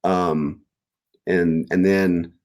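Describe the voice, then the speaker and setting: Guy with a lowish voice chatting as an author guest, rambly and animated, thinking out loud. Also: very slow. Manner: rambling, animated storytelling, piecing ideas together aloud. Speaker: children's book author, guest. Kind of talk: casual interview answers about writing.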